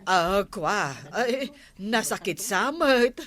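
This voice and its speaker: A woman's voice: medium pitch, lively intonation, drawn-out words, a bit bright. An actress playing a fussy, anxious village woman in an Ilocano radio comedy, hesitant and full of exclamations, with an Ilocano accent. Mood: flustered.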